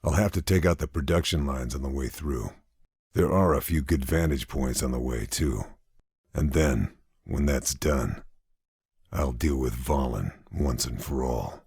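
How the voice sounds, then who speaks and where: An actor playing a grim, terse Allied sniper, narrating game cutscenes in a gravelly, deliberate, hard-boiled way. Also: male voice, a bass voice, a little mellow, dry recording, studio quality, full character voice. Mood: grim resolve. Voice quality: gravelly, husky.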